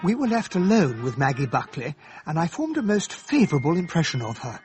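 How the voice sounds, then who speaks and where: An earnest English gentleman recounting a mystery. His voice sits a little high and moves expressively. He is well-spoken and descriptive, in radio drama style over faint music. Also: sing-song, fairly quick. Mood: reflective narration. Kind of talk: radio drama narration and dialogue.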